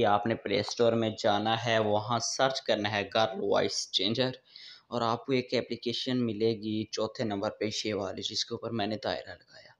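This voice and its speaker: A man hosting a tech tips channel, narrating a casual step-by-step app tutorial to his viewers. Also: lowish voice. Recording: dull, lo-fi voice-over recording.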